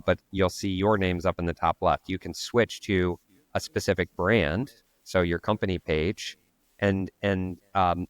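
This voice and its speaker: A man with a low, deep voice talks through marketing steps one at a time on a casual podcast. He thinks aloud as he goes. The sound is dry and close, with no room around it. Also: no room sound. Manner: explains step by step, thinking aloud. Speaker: podcast co-host.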